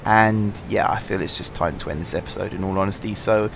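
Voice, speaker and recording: A lower-pitched man with a sore, slightly husky throat talks through his game on a headset mic. He rambles along in a relaxed, apologetic way, full of ums. Faint game sounds and vehicle hum sit behind him. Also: fairly quick. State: sore throat, fighting coughs. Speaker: gaming channel host. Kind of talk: casual let's-play commentary.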